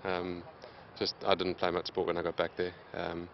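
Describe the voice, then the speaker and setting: A man in his late twenties, answering interview questions in a plain, steady way with many pauses. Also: low voice, gravelly, dull audio, a little hiss or hum. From Zimbabwe. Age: late 20s. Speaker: interviewed athlete.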